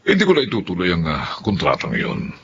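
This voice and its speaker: A man's voice: sits low, huge pitch swings, brisk, a bit bright, dull audio, slight background noise, full character voice. A man acting a patronizing, businesslike rich client in a Filipino radio drama, with a Filipino accent. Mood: smug, businesslike. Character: smooth-talking, businesslike rich male building client, condescending.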